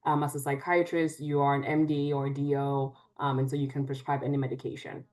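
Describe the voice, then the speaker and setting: Low-voiced woman, psychiatrist guest. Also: little pitch movement, fairly quick, darkish tone. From Cameroon. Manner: frequent "um" before phrases. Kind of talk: interview answers.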